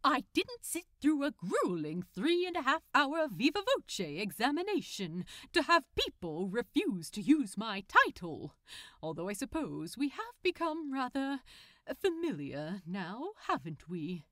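A woman putting on a prim, pompous male supervillain, very animated. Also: fairly high pitch, measured pace, no echo, clean studio sound, pitched above the performer's own voice. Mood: smug, fussy. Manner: formal, pompous, articulate. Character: prim, nefarious male supervillain, politely smug and fussy. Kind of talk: fan fiction audiobook character voice.

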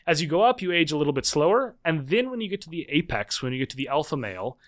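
A man in his thirties, a guest author explaining ideas in a podcast interview, animated and full of quick restarts. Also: fairly high pitch.

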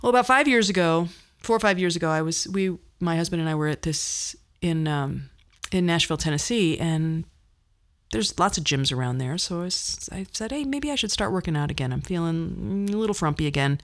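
A woman in her fifties with a fairly low voice hosts a podcast monologue. She talks conversationally about her own life, with a wry, self-deprecating touch. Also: expressive, fairly quick. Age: 50s. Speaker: podcast host. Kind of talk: personal storytelling monologue.

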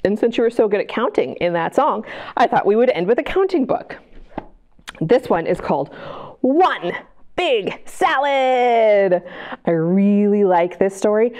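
Animated woman reading a storytime. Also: medium pitch, a little slow. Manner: bright, encouraging, talks to kids. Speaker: children's librarian. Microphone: camera mic.

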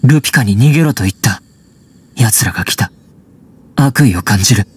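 A man voicing a wary teenage boy raised by wolves in an anime game, speaking in halting, terse fragments. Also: on the low side, lively intonation, breathy edge. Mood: urgent. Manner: halting, terse broken phrases. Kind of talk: anime video game voice acting.

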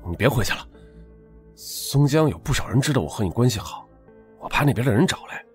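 Man playing a husky, coaxing street hustler. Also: mid-range voice, animated, a little fast, faint music behind. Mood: persuasive. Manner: earnest, coaxing, colloquial. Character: scheming, persuasive male street hustler, tipsy. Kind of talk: audiobook drama acting.

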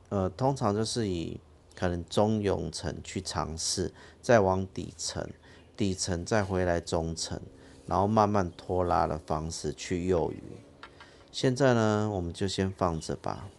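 A man's voice: low voice, measured pace, creaky, a little hiss or hum. A man hosting a fishing channel, explaining his rig step by step in a plain casual way outdoors at a harbour. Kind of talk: casual how-to narration.

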